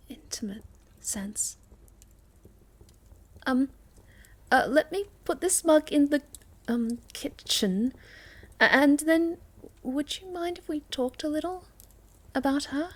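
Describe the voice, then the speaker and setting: Woman acting a slow-speaking, sweet, shy moth girl. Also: high voice, animated. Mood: hesitant, wondering. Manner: soft, halting, stammering, often slipping into whispers. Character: sweet, shy, gentle moth-girl monster, cozy visitor. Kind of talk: ASMR roleplay acting.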